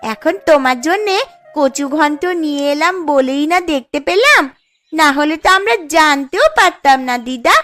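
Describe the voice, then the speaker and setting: A woman's voice: high-pitched, animated, normal speed, a bit bright, soft background music. A woman voicing a caring, eager young village grandchild in a cartoon story. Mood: earnest.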